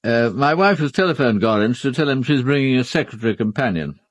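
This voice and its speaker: An actor playing a reserved titled British husband, measured and firm, in a radio drama. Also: male voice, mid-range voice, expressive, dry recording, close to an everyday voice. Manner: measured, matter-of-fact, firm. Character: reserved upper-class husband, a titled gentleman. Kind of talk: full-cast radio drama acting.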